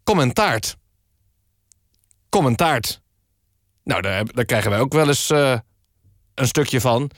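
A man hosting a satirical radio magazine in a mock-serious, deadpan and halting way. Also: mid-range voice, dry recording, studio quality. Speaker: radio show host. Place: radio studio. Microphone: close studio microphone.

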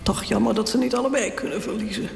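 An actress playing an elderly, stubborn and proud exiled queen in a radio drama, speaking in an imperious, indignant manner with a gravelly voice. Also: fairly high pitch, steady tone, fast, soft background music.

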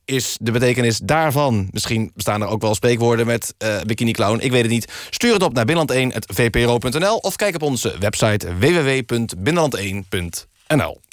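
Man playing a deadpan radio host, dry studio. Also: medium pitch, a little fast, no room sound, close to an everyday voice. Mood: deadpan, chatty. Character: deadpan male host of a spoof radio news magazine. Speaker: radio presenter. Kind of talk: mock public-radio presenting, conversational.

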